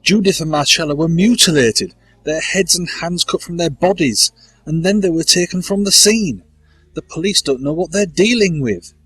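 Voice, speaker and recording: An earnest bishop, husky, bright forceful tone, accusing. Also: male voice, on the high side, animated, normal speed. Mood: indignant. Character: indignant male bishop, grieving and earnest.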